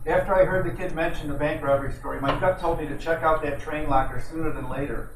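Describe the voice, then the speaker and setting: A man's voice: on the high side, fairly even intonation, fast, natural voice. A man playing a wisecracking private eye, echoey, distant. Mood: narrating. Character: wisecracking male hard-boiled private detective, smug and flirtatious. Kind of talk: 1940s detective radio drama narration and dialogue. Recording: noisy, echoey room, distant microphone.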